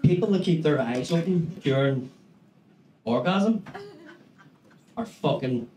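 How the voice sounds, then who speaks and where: A man in his thirties with a Northern Irish accent, doing a stand-up set in a small club, animated and swearing as he tells a story. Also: on the high side, slow, slight background noise. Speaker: stand-up comedian. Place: small comedy club stage with brick wall. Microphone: handheld stage mic. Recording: echoey club with loud audience laughter. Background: audience laughter.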